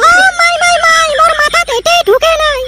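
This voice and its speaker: A woman's voice: steady tone, fairly quick, very noisy. A woman voicing a quarrelsome, scatterbrained cartoon village wife with a Rajbongshi Bengali accent and an artificially raised voice. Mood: flustered. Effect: artificially raised cartoon pitch. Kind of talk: comic cartoon dialogue. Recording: loud background music.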